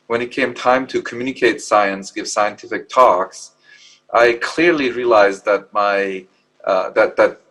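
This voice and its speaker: A man from Lebanon who is not an English speaker, talking in a reflective, measured way as a scientist on a panel. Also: lowish voice, a bit bright, poor audio. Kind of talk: thoughtful panel discussion. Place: live panel event in a hall.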